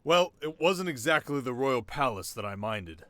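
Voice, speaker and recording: An actor playing a sharp, haunted young king in an intimate ASMR romance roleplay, talking wryly and dramatically to his listener. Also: medium pitch, animated, moderate pace, dry recording, lightly acted. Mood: bitter reminiscing. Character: young desert king, sharp, wry, haunted, teasingly tender.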